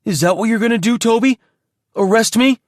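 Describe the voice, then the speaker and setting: A man voicing a defiant young son who pleads and shouts in a high voice. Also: a little fast, no room sound, clean studio sound. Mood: pleading.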